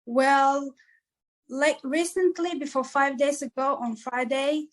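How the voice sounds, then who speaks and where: High-voiced woman giving earnest advice. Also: low-quality recording. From East Africa. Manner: earnest, motivational, frequent "like" fillers. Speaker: musician guest. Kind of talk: casual interview answers.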